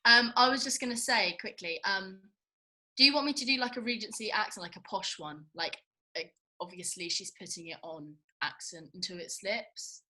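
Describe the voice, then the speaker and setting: A woman's voice: mid-range voice, normal speed, pushed and bright, rough recording. A woman, an actor chatting casually at a rehearsal.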